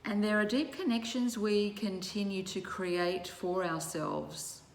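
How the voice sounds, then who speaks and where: A woman leading a guided relaxation as a yoga teacher, speaking in measured, soothing short phrases with long pauses. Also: medium pitch, steady tone. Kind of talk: guided meditation instruction. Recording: roomy echo with faint background noise.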